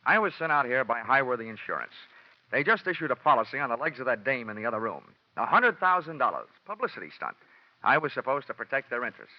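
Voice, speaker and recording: Hardboiled insurance investigator, American, deadpan wisecracks, mid-pitched, vintage radio drama, dull old broadcast sound. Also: male voice, fast, no room sound. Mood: wry, defensive. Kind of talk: 1950s radio detective drama acting.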